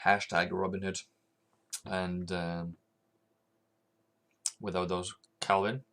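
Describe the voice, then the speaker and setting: A man with a fairly low voice talks loosely through a live trading stream. He rambles from one thought to the next and picks up viewers' comments aloud as they come in, in a relaxed, chatty way. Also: slow pace. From Norway. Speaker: forex trading livestreamer. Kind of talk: casual livestream chat.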